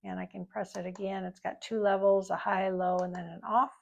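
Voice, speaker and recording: A woman with noticeable creak in her voice walks through a product demonstration. She sounds informal and explanatory, rambling a little from point to point at an average pitch for a woman. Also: little pitch movement, fairly quick, a little mellow. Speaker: product reviewer. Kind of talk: casual product review demonstration.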